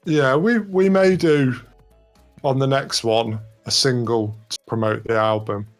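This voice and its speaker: A man's voice: medium pitch, steady tone, a little slow, soft background music. A man talking casually as a guest in an informal panel discussion. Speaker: record label guest.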